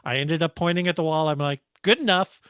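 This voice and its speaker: A man's voice: on the high side, brisk, fairly bright tone, clear, resonant tone, dry recording, clean studio sound. A man co-hosting a motorsport podcast, recapping a race in casual, enthusiastic conversation.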